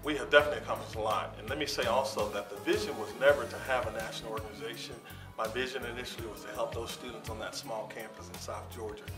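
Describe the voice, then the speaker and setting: Measured man in a roomy room. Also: mid-range voice. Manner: steady, measured, cites figures and dates. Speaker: organization founder being interviewed. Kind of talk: reflective interview answers. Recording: roomy sound from a distance with music underneath.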